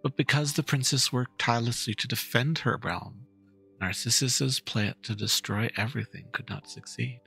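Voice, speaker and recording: A man's voice: medium pitch, moderate pace, creaky, sharp tone, husky, heavily acted. A man performing a dramatic reading as a patient, theatrical storyteller coaxing a young listener through a fairy tale. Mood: storytelling. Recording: music behind the voice.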